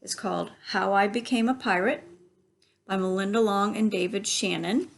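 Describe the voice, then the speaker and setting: A woman's voice: mid-range voice, normal speed, vocal fry, a little hiss or hum. A woman reading a picture book aloud to children as a library story reader, instructive and given to asides to her listeners.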